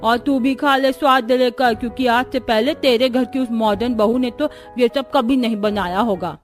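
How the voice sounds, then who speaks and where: A woman voicing a stern, sharp-tongued old grandmother-in-law in a cartoon, scolding and commanding. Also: fairly high pitch, moderate pace, soft background music, close to an everyday voice. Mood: taunting.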